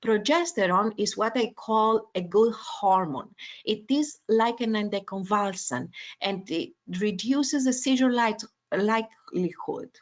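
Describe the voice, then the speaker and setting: A woman from Greece with a Greek accent, a neurologist giving a medical lecture webinar over a video call, pausing often on uh and um. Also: mid-range voice, dull audio. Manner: hesitant, many uh and um fillers.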